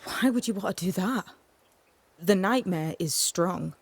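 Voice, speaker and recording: An actress playing a hardened, wary outcast guide in a video game, terse, firm and no-nonsense. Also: female voice, lowish voice, a little slow, slightly rough. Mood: stern warning. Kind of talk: dramatic video game acting.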